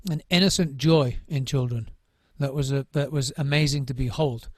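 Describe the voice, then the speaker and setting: A man's voice: medium pitch, fairly even intonation, moderate pace, a little mellow, dry recording, studio quality. A middle-aged man, a drum circle trainer, giving thoughtful interview answers, often restarting and repeating words as he searches for what to say.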